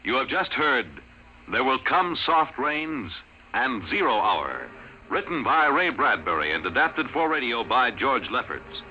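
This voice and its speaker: A man with an American accent formally reading credits as a 1950s radio announcer, with measured enunciation. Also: medium pitch, very animated, music in the background. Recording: dull, faintly noisy old radio recording.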